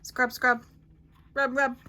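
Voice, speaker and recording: Lady doing kids bedtime story read-aloud, bright voice, really theatrical, takes her time, bit of background noise. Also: sits high. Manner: theatrical, expressive, with dramatic pauses and emphasis. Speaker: library storyteller reading picture books aloud.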